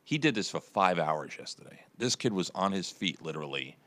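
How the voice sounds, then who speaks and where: A man's voice: sits low, big pitch swings, fairly quick, fairly bright tone. A man hosting a casual internet radio morning show, telling a long rambling story with many restarts.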